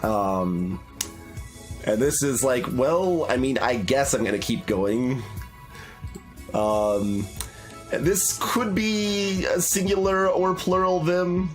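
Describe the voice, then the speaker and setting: A man hosting a game playthrough, talking through his thoughts with many pauses and restarts. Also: medium pitch, animated, faint music behind. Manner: thinking aloud, many fillers and restarts. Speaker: let's play host. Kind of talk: casual game commentary.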